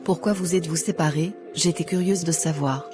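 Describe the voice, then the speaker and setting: A woman's voice: deep voice, a little fast, over music, natural voice. A woman voicing a devout, insecure single woman in her thirties, earnest and guarded, in a cartoon. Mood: doubtful.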